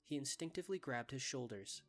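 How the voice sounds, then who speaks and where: A man's voice: mid-range voice, lively intonation, fairly quick, fairly bright tone, soft background music. A man reading scripted story narration as the narrator of a fan dub.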